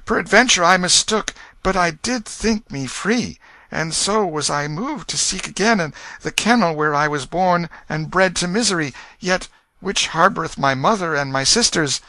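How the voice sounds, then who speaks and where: A man playing a meek, high-pitched pauper boy. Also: expressive, normal speed, bright, forceful tone, no echo, poor audio. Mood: timid, humble. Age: child figure. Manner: meek, pleading, archaic speech. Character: humble, frightened, earnest pauper boy mistaken for a prince. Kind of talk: audiobook character voice.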